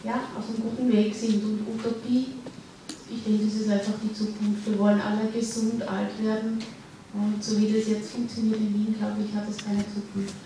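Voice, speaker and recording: A woman's voice: mid-range voice, monotone, normal speed, noisy background. A woman with a Dutch accent speaking up hesitantly from the audience at a public panel discussion. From Netherlands. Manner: hesitant, searching for words. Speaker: audience member. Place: large hall at a public event. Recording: echoey hall, distant mic.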